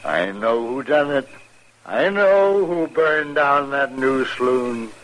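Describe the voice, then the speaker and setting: A sly, stammering frontier husband. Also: male voice, medium pitch, lively intonation, a bit bright, a little hiss or hum, poor audio. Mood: sly, insistent. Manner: halting, stammering, gloating rustic drawl. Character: sly, spiteful frontier husband, middle-aged man. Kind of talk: 1950s radio drama acting.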